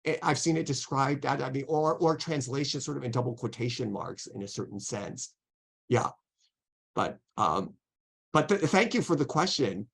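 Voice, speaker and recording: A man's voice: mid-range voice, animated, moderate pace, vocal fry, breathy edge. A man answering questions as a guest lecturer in an online academic talk, careful and hedging, with many restarts. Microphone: video call.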